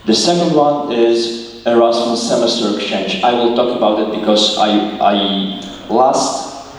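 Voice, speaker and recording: A man's voice: mid-range voice, unhurried. A young man from Ukraine speaking English, giving a slide presentation as a student and explaining things plainly and earnestly. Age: young adult. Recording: echoey hall, mic far off, faint noise.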